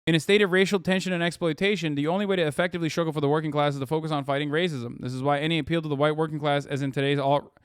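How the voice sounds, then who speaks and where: A man's voice: a little high, fairly even intonation, rapid-fire, clean tone, no room sound, clean studio sound. Profane, sarcastic man ranting on stream. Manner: profane, sarcastic, heated rants. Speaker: streamer host. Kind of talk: live stream reaction commentary.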